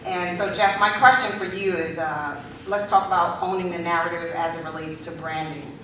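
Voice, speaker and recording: A woman's voice: fairly low pitch, steady tone, normal speed, sharp tone. A woman moderating a panel, introducing guests and posing questions graciously with short affirmations. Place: panel event in a hall. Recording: echoey room, distant mic, background noise.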